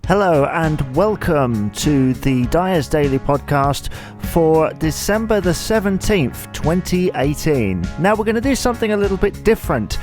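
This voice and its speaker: A man's voice: on the high side, big pitch swings, measured pace, music in the background. A man introducing a podcast as its host.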